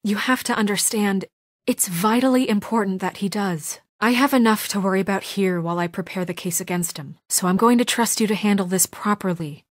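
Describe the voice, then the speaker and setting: A poised young woman in charge, played by a game voice actress, speaks with measured, earnest authority. Her mid-pitched voice is formal and admonishing, delivered naturalistically as in a video game drama. The recording is dry, with no room sound. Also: no echo, natural voice. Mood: firm, earnest. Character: poised, stern young woman leading a troubled town government.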